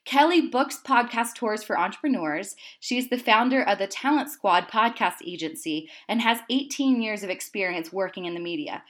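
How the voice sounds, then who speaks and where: A woman's voice: medium pitch, lively intonation, normal speed, few pauses, fairly bright tone. A woman hosting her podcast, asking questions with friendly enthusiasm. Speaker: podcast host.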